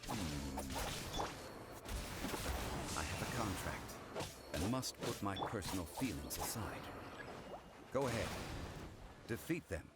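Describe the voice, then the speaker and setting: A man playing a calm, dignified scholar in a video game, speaking in a measured, formal manner. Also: deep voice, over loud music. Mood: composed, instructive. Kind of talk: video game character acting.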